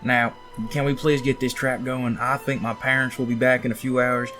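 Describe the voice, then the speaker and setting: A young, take-charge ghost hunter acted by a man with a medium-pitched voice. He sounds impatient and practical, in audio drama style over faint music. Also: little pitch movement, moderate pace, bright, forceful tone, close to an everyday voice. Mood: impatient, brisk.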